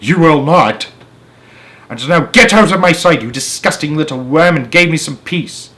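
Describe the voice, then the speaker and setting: A man reading aloud, playing a cruel, sharp-tongued aunt who screeches and snaps. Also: on the high side, moderate pace, voice pushed up. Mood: scornful. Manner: screeching and snapping.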